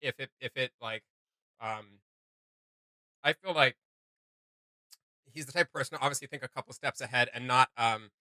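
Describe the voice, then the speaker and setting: Casting: male reaction host, medium pitch, bright forward tone, dry close sound, casual and searching for words. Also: moderate pace, long pauses, no room sound.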